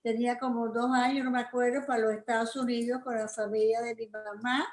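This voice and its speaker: A woman's voice: on the high side, little pitch movement, brisk, a little mellow, echoey room. An older woman from Cuba with a Cuban accent, chatting loosely about her past with fillers. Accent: Cuban Spanish. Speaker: grandparent being interviewed. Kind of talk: recounting memories.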